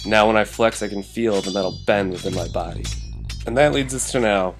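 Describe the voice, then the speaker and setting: A man's voice: on the low side, a little fast, over music, close to an everyday voice. A man playing a gloomy young man who narrates his own story in a motion comic. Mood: bitter, resigned. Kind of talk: scripted first-person motion comic narration.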